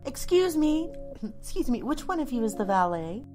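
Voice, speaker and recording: A woman's voice: high voice, sing-song, moderate pace, over music. A woman playing a vain, oblivious older aunt in an audio horror story, airy and condescending, with sweet endearments. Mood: sugary. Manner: airy, condescending, calling people dear and sweetie.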